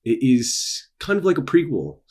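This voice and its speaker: A young adult man with a husky voice, talking casually to his audience as an author and creator, with theatrical emphasis and dramatic pauses. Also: mid-range voice, wildly swooping pitch. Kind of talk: casual promotional vlog, dramatic delivery. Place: small dark room indoors.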